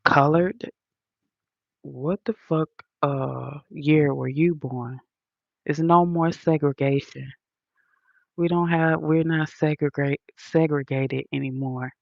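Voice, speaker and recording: A woman's voice: sits low, fairly even intonation, slow, gravelly, no room sound, lo-fi sound. A woman with a Southern accent hosting a livestream, lecturing her chat in an indignant, emphatic way. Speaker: livestream host reading chat. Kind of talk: casual livestream rant.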